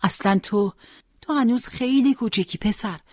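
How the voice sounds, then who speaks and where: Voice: medium pitch, expressive, breathy edge, no room sound, clean studio sound. A storyteller voicing a worried mother pleading tenderly and reproachfully with her son in an audiobook. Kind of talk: acted dialogue in an audiobook.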